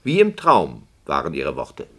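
An actor playing an argumentative, pompous radio play director, speaking in a rhetorical, sardonic manner in a 1960s German radio drama. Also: male voice, a bass voice, wildly swooping pitch, unhurried, fairly bright tone, heavily acted. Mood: ironic.

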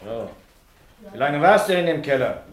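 An actor playing a middle-aged schoolteacher with dry, mocking irony in a 1950s radio play. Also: male voice, a little high, animated, normal speed, echoey room, close to an everyday voice, low-quality recording. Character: dry, ironic male schoolteacher, a professor.

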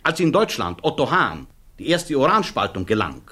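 An actor playing a self-assured, unsentimental physicist testifying emphatically in a German radio play. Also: male voice, medium pitch, big pitch swings, moderate pace, sharp tone, husky, heavily acted. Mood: confident, dismissive. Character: self-assured, unsentimental male physicist witness defending discovery.

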